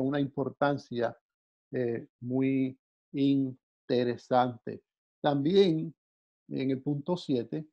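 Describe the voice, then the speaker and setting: Halting man teaching a Bible lesson. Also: on the high side. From Dominican Republic. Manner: halting, many "eh" fillers and repeated words. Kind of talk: unscripted Bible class talk. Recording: dull lo-fi sound.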